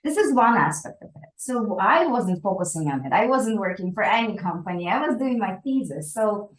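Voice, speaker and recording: A woman whose first language is Ukrainian speaks at a middle pitch, thinking aloud as she answers. She pauses on fillers, restarts her phrases, and tags her points with "right?". Her voice rings in a noticeably echoey room. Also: very animated. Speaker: guest scientist. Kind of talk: conversational interview answer.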